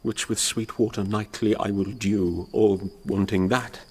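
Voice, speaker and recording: Proud low-voiced young British noble. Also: male voice, little pitch movement, unhurried, slightly rough. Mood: mournful, tender. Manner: formal, declamatory verse delivery. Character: Paris, proud mournful young noble suitor. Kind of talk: Shakespearean radio drama acting.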